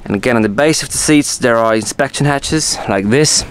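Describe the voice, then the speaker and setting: A man's voice: mid-range voice, normal speed. A man presenting an informal walkthrough of his boat as its owner, explaining things casually and correcting himself as he goes. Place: outdoors on an open motorboat on the water. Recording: outdoor ambient noise on boat.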